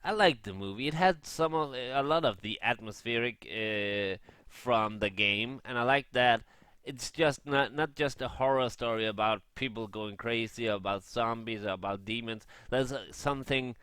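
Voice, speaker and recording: A man giving casual, unscripted gaming commentary in a halting way, often rephrasing and correcting himself. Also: mid-range voice, a bit bright, clear, resonant tone, dry recording. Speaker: gaming vlogger.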